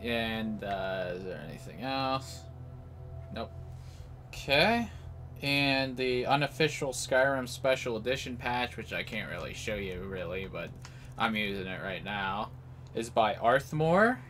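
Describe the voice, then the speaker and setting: A man's voice: medium pitch, expressive, unhurried, fairly bright tone, faint music behind. A man giving casual live commentary as host of a gaming video.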